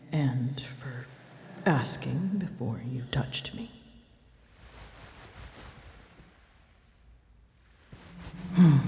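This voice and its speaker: Woman doing a proud prickly ancient dragon lady for asmr, super slow n halting, formal, sometimes whispery, big echoey space. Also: a bass voice, mellow, heavily acted. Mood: soft, tender. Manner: haughty, formal phrasing with halting pauses, often dropping to a whisper. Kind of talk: ASMR roleplay acting. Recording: echoey, reverberant, faint background ambience.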